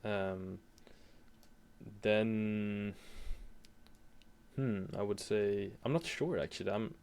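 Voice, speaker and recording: A young man from Sweden with a Swedish accent, speaking English as a second language as an interview guest, hesitant and halting with frequent restarts. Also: on the low side, creaky, darkish tone, clear, resonant tone. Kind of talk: casual interview chat.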